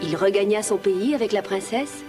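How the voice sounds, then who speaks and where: A woman storyteller reading a scripted narration for a children's animated tale. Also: medium pitch, moderate pace, soft background music.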